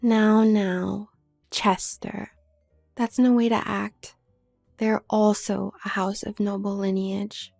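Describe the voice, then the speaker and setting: A woman playing a haughty, manipulative younger werewolf sister from a noble family in an audio drama, speaking with condescending, needling smoothness. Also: medium pitch, little pitch movement, slow pace, a little mellow, faint music behind. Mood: smug, needling. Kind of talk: scripted audio roleplay drama.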